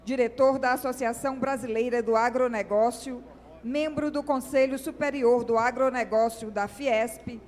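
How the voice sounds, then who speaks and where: A woman presenting at a conference, reading a formal speaker introduction in a measured way. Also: on the high side, fairly even intonation, darkish tone, long reverb tail. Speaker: event presenter.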